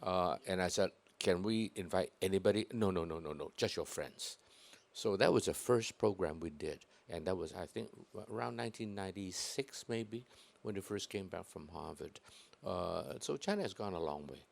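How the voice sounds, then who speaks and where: A man hosting a talk event, speaking in a jovial, self-deprecating way with many hesitant pauses. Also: low-pitched, lively intonation, gravelly. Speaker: host of the event. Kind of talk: casual event chat.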